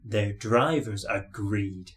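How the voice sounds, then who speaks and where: A man's voice: lowish voice, takes it slowly, fairly bright tone. A man reading a children's story aloud as scripted narration.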